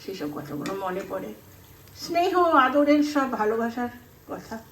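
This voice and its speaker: High-pitched Indian Bengali woman, chatty home vlogger. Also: sing-song, measured pace, a bit bright. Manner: chatty, rambling, acts out remembered dialogue. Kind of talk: casual storytelling while cooking. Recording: roomy echo, some background noise.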